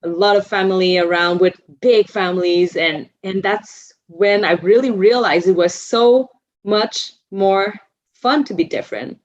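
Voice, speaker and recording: A woman whose first language is Vietnamese talks as a guest in a relaxed podcast conversation. She is reflective, often circling back and restarting as she looks for her words. Her voice sits at a middle pitch and comes through a somewhat muffled, lo-fi recording. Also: a little slow. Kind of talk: casual conversation. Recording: slightly dull lo-fi sound.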